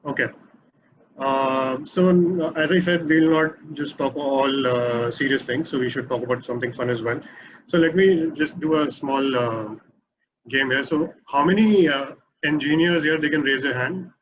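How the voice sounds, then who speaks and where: A man moderating an online workshop in a relaxed, conversational way over a video call. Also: mid-range voice, moderate pace, background noise. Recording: muffled video-call audio.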